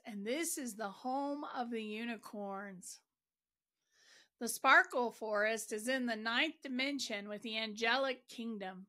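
A woman leading a guided meditation, narrating in a gentle, soothing and deliberate manner. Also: fairly high pitch.

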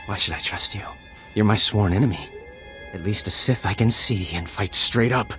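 An actor playing a frightened, bitter Jedi apprentice in a video game, agitated and dramatic, moving between dread and defiance. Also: male voice, fairly low pitch, normal speed, fairly bright tone, soft background music, close to an everyday voice.

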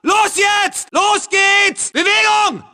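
Ww2 soldier in a video game, yelling short combat barks, super sharp and forceful. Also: male voice, very high-pitched, fairly even intonation, slow, exaggerated voice. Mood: urgent, commanding. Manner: barking short, urgent combat orders. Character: aggressive male German Second World War soldier. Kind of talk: shouted battlefield barks in a war shooter.

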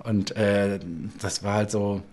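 A man in his forties hosting a casual interview over a video call from a bright home room, chatty and rambling, laughing often. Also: on the low side, completely flat delivery, takes it slowly. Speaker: podcast host. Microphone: video call, webcam close-up.

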